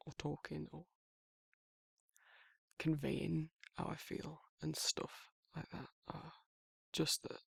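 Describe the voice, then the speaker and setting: A man playing a shy, protective werewolf in a romantic ASMR roleplay, speaking haltingly and reassuringly in a gravelly, husky voice. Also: on the high side, little pitch movement, dry recording, studio quality, heavily acted. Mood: shy, hesitant. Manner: halting, awkward, softly reassuring. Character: shy, protective, tender young male werewolf in love. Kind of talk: romantic ASMR audio roleplay, intimate and conversational.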